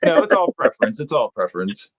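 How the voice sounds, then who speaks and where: A man's voice: on the low side, very animated, fast talker, a loud crowd. A young man hosting a casual podcast on a headset mic, laid-back and agreeable, with lots of "you know.". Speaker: podcast host.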